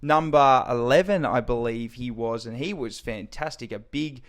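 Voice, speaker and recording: A man's voice: medium pitch, normal speed. An Australian man talking casually and upbeat, vlogging a trip and commenting on his own footy game, with the odd casual swear. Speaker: vlogger and amateur footy player. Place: outdoors at a stadium and hotel.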